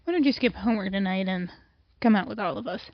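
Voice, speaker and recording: A woman's voice: medium pitch, quick pace, no echo, dull audio, close to an everyday voice. A woman playing a playful teenage friend coaxing another girl to come out. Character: teasing teenage friend Georgie.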